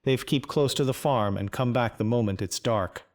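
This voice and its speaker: Game NPC: weary old male farmer, acted by a man, blunt and dry, mid-pitched, terse lines. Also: normal speed, close to an everyday voice. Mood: gruff, blunt. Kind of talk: video game quest dialogue.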